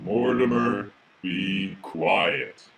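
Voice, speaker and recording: A stern, commanding policeman acted by a man in a children's story reading. The voice is digitally lowered and deep, with a heavy gravelly creak, and delivered very slowly. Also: a bit bright, heavily acted. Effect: pitch shifted down digitally.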